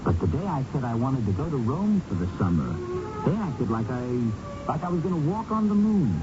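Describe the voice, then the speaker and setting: A man's voice: on the high side, sing-song, a little fast, background noise. An actor playing an earnest, informal young male graduate student in a radio drama. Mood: earnest, wry. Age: young adult. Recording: muffled old radio recording.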